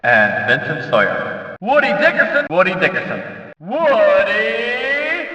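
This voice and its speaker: A man playing an excitable, showy race announcer in a video game, calling out driver names in a drawn-out, booming delivery. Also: full character voice. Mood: showy, hyped. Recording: echoey, reverberant, distant, slightly dull.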